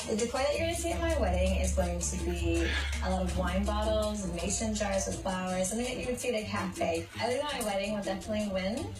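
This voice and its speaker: A woman's voice: medium pitch, quick pace, reverberant, far from the mic, music in the background. A woman describing her upcoming wedding in a chatty, upbeat reality TV confessional. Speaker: bride-to-be on a wedding show.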